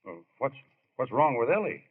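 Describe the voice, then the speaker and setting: Plain-spoken bridegroom, thin old-radio sound. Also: male voice, mid-range voice, big pitch swings, normal speed, slightly rough, narrow, tinny audio. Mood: puzzled concern. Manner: straightforward, firm, unpretentious. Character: plain-spoken, decisive young bridegroom, famous ballplayer. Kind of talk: 1940s radio drama acting.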